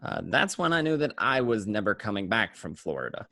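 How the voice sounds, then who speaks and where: A man playing a weary mobster turned informant, telling his story in a conversational, streetwise, halting way. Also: on the low side, very animated, bright, forceful tone, no room sound, clean studio sound. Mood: wary, matter-of-fact. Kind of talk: first-person crime narration, acted table read.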